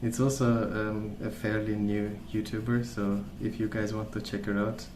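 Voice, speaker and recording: A man rambling casually in a soft-spoken ASMR style, hesitant and meandering as he hosts. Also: on the low side, level tone, normal speed, roomy sound, background noise. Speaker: ASMR video host.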